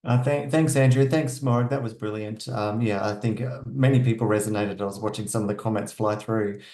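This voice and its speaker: An Australian man speaking as an aged care executive on an online panel, measured and full of fillers. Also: lowish voice, steady tone, warm tone, echoey room. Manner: measured, hesitant with many fillers.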